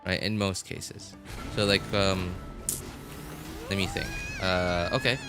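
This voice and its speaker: A man with a low-pitched voice talks casually as a game livestreamer. He thinks aloud in a hesitant, rambling way, trailing off and rewording his sentences, while music plays clearly behind him. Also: takes it slowly.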